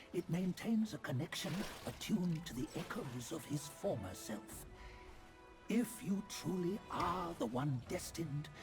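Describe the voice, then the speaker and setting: An actor playing a solemn, cryptic old male sage in a video game, speaking in measured, archaic phrases. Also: high voice, heavily acted. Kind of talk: dramatic video game dialogue. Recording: music behind the voice. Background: game score music.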